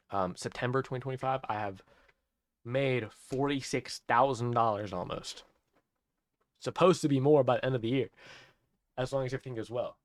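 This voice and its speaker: Casting: 18-year-old male vlogger, mid-pitched, excited rambling rant, keeps saying it's insane, dry close sound. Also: no room sound. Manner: awed, repeats himself, restarts often.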